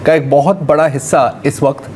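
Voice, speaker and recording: A man's voice: on the high side, little pitch movement, moderate pace, darkish tone, slight background noise. A man giving explanatory live news analysis, with some hesitation.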